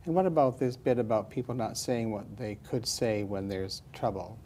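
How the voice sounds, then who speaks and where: A man interviewing students about a lesson, asking gentle, leading questions. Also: lowish voice, moderate pace, slight background noise. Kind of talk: classroom interview.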